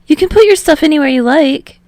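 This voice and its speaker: An energetic young man played by a woman in an audiobook, pitched well above the narration. He talks in a friendly, chatty way with a touch of uncertainty, recorded close and dry. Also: a little fast, dry recording. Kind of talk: fan fiction audiobook dialogue.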